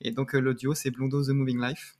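A man in his thirties with a Parisian French accent, giving halting, often rephrased answers as an interview guest. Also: medium pitch, flat delivery, a little mellow. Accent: French, Parisian region. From Paris region, France. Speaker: podcast guest, designer and video creator. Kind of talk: casual interview chat.

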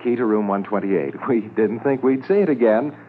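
Male actor as talkative, courteous hotel manager, low pitch, hesitant delivery, 1950s radio drama, phone-like fidelity. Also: normal speed, natural voice. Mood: obliging, chatty. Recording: tinny old radio with faint noise.

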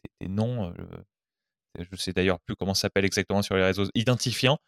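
Man, lowish voice, interviewer, fumbling questions, dry close sound. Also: brisk, creaky, dry recording. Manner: fumbling, searching for words. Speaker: interviewer, host.

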